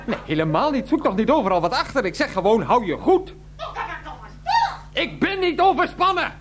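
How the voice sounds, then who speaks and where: A man's voice: extremely high pitch, very animated, normal speed, background noise. An actor playing an exasperated adult son in a radio play, pleading and placating on the phone with his mother. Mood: placating, irritated. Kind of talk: radio play acting, one-sided phone conversation.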